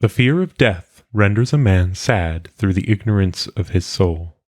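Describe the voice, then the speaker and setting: Low-voiced man reading solemnly. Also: expressive, unhurried, a little mellow, no room sound, clean studio sound. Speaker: reader. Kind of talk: solemn audiobook-style reading of philosophical maxims.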